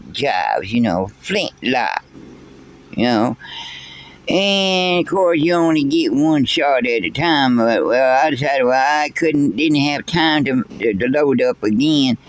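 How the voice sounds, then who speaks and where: A man playing an old backwoods storyteller, rambling folksy and tripping over repeated words as he tells a tall tale. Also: mid-range voice. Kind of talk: character storytelling.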